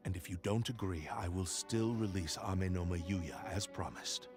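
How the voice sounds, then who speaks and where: Guy doing a deep grave male sword spirit, remorseful, formal game character w music behind. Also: moderate pace, lightly acted. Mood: grave, resolute. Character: solemn, remorseful male spirit of a cursed blade. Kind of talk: dramatic video game voice acting.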